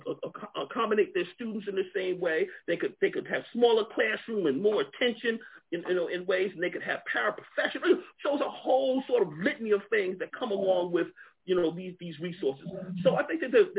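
A man's voice: high voice, slightly rough. A man with a New York accent discussing education funding earnestly, often restarting his words. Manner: earnest, many repeated restarts. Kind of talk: animated discussion on a call. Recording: tinny phone-like line.

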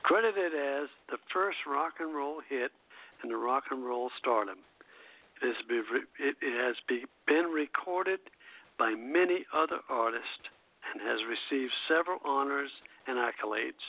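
A man hosting a radio show, reading a music history script aloud in a halting, stumbling way over a phone line. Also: mid-range voice, expressive.

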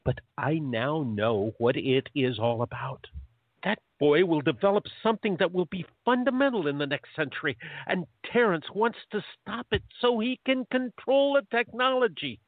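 An actor playing a grumpy, lovelorn older time agent with an earnest, grumbling way in a radio play. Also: male voice, a little high, expressive, normal speed, dry recording, phone-quality sound. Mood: troubled, grumpy.

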